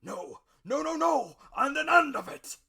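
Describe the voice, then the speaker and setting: A man voicing a hard-drinking, violent old buccaneer captain in a storybook reading, gruff and defiant, with bellowed blunt exclamations. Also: very high-pitched, sing-song, measured pace, pushed and bright, voice pushed up, full character voice. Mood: furious.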